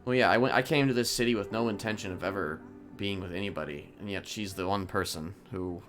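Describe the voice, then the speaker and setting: A man playing a conflicted prison inmate trying to reform, improvising in a halting, stammering way. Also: deep voice, music in the background, close to an everyday voice. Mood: hesitant, earnest. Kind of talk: improvised online roleplay.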